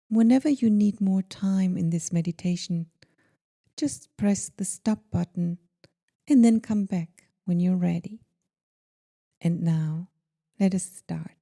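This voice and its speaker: A woman guiding a meditation in a gentle, soothing, deliberate manner, leaving pauses between phrases. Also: mid-range voice. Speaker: meditation guide. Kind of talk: guided meditation.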